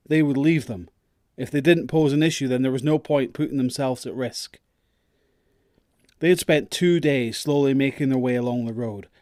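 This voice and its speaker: A man's voice: mid-range voice, darkish tone, no room sound, studio quality. A man narrating a scripted audio drama as its storyteller.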